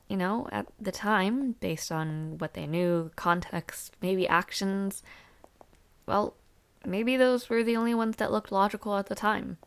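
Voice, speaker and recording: A chatty young female university student played by a woman in an audio roleplay. Her medium-pitched voice often drops into a creaky fry. She talks in a casual, slightly hesitant way, like a friendly, over-prepared dormmate. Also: fairly bright tone. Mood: thoughtful, teasing. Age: young adult. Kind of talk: roleplay audio drama, casual one-sided conversation.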